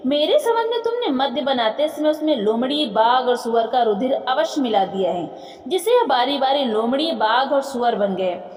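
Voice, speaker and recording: Imperious demon king voiced by a high-pitched woman. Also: animated, normal speed, darkish tone. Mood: delighted, gloating. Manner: imperious, exclamatory. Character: scornful gloating male demon king of unrighteousness. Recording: echoey room with long reverb.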